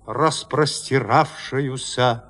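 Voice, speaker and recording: A man narrating a classic horror tale in an audio play, with theatrical, expressive delivery. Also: medium pitch, extremely slow.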